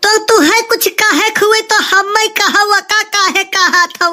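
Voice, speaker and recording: Very shrill, scolding Awadhi cartoon mother. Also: fairly even intonation, takes it slowly, sharp tone, heavily acted. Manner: scolding, argumentative. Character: sharp-tongued village mother, scolding wife, doting on son. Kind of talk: comic cartoon dialogue.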